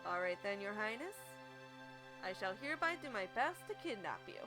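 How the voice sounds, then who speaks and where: A woman's voice: medium pitch, big pitch swings, fairly quick, over music, natural voice. A woman reading a cocky young thief.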